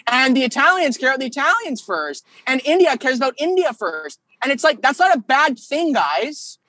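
A man's voice: very high voice, sing-song, normal speed, pushed and bright, dry recording. Emphatic Canadian man, close dry sound. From Quebec, Canada. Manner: emphatic, argumentative, acts out imagined exchanges. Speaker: podcast guest, political strategist. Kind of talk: casual, opinionated political conversation.

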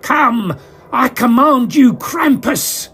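A man's voice: very high-pitched, lively intonation, slow, fairly bright tone, pitched above the performer's own voice, full character voice. A man doing a manic commanding villain magus. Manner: hissing, commanding, theatrical. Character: manic sinister male magus summoning a demon.

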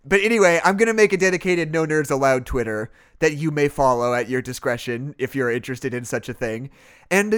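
A man's voice: mid-range voice, clean tone. Casual, self-deprecating young man co-hosting a podcast. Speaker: podcast host. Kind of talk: casual chat.

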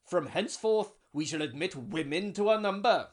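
A man playing a pompous Welsh trade union leader giving a grand, booming speech in a comedy sketch. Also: lively intonation, moderate pace. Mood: grandiose. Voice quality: booming, grandiose rally oratory.

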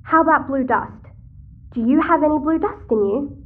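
A woman's voice: sits high, moderate pace, phone-quality sound, lightly acted. An actress playing a chatty, cheeky, nosy teenage girl in an audio sci-fi drama. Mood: playful, curious. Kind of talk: audio-fiction drama dialogue.

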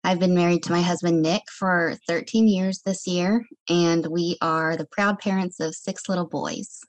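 A woman in her thirties shares her own story in an earnest, reflective way. Her voice sits a little low, and she tells it steadily. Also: steady tone, moderate pace, rough recording. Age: 30s. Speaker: guest. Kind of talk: personal testimony.

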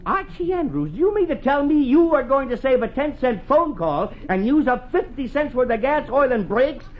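Middle-aged American father, 1940s radio comedy. Blustery penny-pincher, very animated pitch, dull vintage sound. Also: moderate pace. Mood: indignant. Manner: blustery, emphatic scolding. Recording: muffled, noisy 1940s radio recording.

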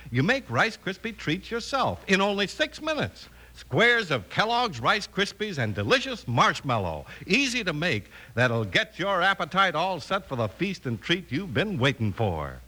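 Hugely animated American radio pitchman. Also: a little slow, few pauses. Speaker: radio advertiser. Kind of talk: folksy 1950s radio commercial.

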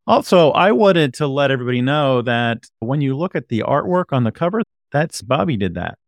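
A man's voice: mid-range voice, sing-song, brisk, no echo, clean studio sound. A man hosting an interview in a casual, conversational style. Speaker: interview host.

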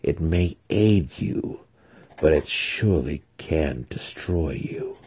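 A man voicing an imperious dark elf matriarch for an audiobook, with a commanding, deliberate and menacing delivery. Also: extremely low pitch, lively intonation, breathy edge, exaggerated voice. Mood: cold threat.

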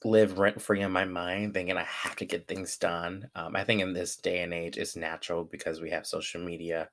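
A man in his twenties reads his own essay aloud, then reflects on it in a relaxed, candid way with frequent fillers. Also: low voice, moderate pace, a little hiss or hum. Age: 20s. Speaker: vlogger.

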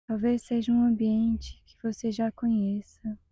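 A Brazilian woman leading a guided meditation in a soft, breathy, half-whispered voice, calm and soothing, with long measured pauses. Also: on the high side, no echo, low-quality recording.

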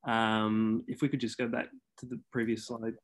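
A man explaining the award submission process on a webinar in a measured way, often pausing on ums. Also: mid-range voice, little pitch movement. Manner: measured, many ums and restarts. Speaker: awards consultant guest.

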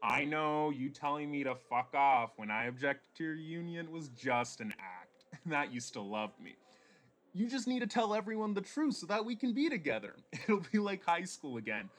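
Man playing high-voiced, lovesick, melodramatic ex-boyfriend. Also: big pitch swings, normal speed, fairly bright tone. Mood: lovesick, pleading. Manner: melodramatic, pleading. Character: Fitz, lovesick, cocky young ex-boyfriend, dramatic pleader. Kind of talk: radio play acting.

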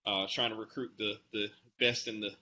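A man's voice: fairly low pitch, flat delivery, normal speed, pushed and bright. A man in his forties from the American South, a doctor answering interview questions conversationally. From Atlanta, Georgia, United States. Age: 40s. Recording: slightly muffled with faint noise.

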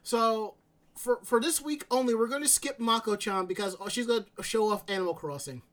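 A man's voice: sits high, brisk. A man with a New York accent hosting a casual live stream chat, telling stories and acting out his own reactions. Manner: animated, quotes himself in asides. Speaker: stream host.

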